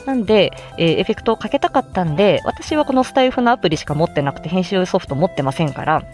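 Woman chatting through a how-to, lively up-and-down voice, encouraging, bit of music behind. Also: lowish voice, fast. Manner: encouraging, polite, explains step by step. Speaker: host of a how-to audio show for amateur voice actors. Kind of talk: casual explanatory talk, unscripted.

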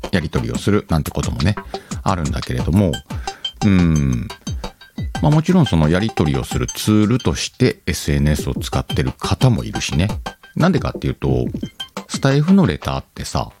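A man with a very deep voice hosts a casual solo podcast. His delivery rises and falls in a lively way as he thinks aloud and talks straight to the listeners, with soft music underneath. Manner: conversational, thinks aloud, addresses listeners directly. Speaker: podcast host.

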